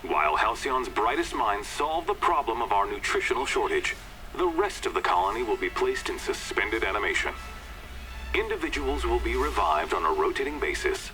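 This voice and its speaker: A man's voice: lowish voice, poor audio. An actor playing a pompous, short-tempered corporate chairman in a video game, with a polished public-speaking manner. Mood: promotional. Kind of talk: video game scripted address.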